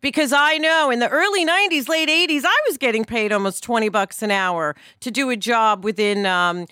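A woman's voice: on the high side, fairly quick, pushed and bright, no echo, studio quality. A middle-aged American woman hosting a podcast discussion, speaking in an opinionated, emphatic way. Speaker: podcast host.

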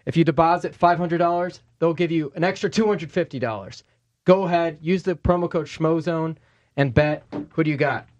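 A man's voice: a little high, normal speed, highs cut off. Upbeat male podcast host. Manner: energetic, upbeat, pushes promo. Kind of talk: casual podcast chat with ad read.

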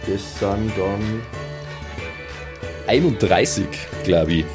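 A man with a lowish voice talks casually as a tabletop role-player, slipping in and out of character. Music plays clearly behind him. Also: slow. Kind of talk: casual game chat, partly in character.

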